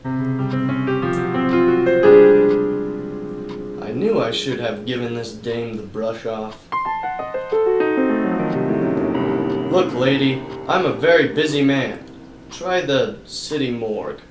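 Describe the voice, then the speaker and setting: A man's voice: medium pitch, unhurried, far from the mic, loud music behind the voice, natural voice. A man playing a wisecracking hard-boiled private eye, roomy sound. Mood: brusque. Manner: wisecracking, slangy tough-guy patter. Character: hard-boiled, cynical male private investigator, money-minded. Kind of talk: noir radio-play narration and dialogue.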